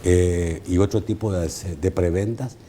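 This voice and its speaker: A man from El Salvador moderating a televised debate, speaking haltingly with many fillers. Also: deep voice, dark tone, slight background noise. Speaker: debate show host.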